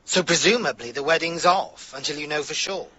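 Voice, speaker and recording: A camp, catty English best friend in a radio comedy-drama. His voice is very sharp and forward, a little high, with theatrical, melodramatic delivery. The recording is slightly dull. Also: a little fast. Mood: catty, sarcastic.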